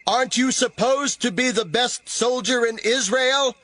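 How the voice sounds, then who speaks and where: An actor playing a bold, devout young warrior in an audio drama, with drawn-out, declamatory delivery. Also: male voice, extremely high pitch, sharp tone, exaggerated voice. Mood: accusing, shouting.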